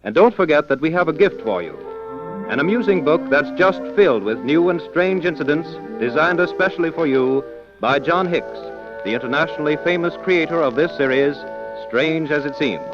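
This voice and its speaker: A man's voice: a little high, lively intonation, normal speed. An American man reading scripted announcements and sponsor copy in the style of old-time radio. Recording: dull old radio broadcast, music behind.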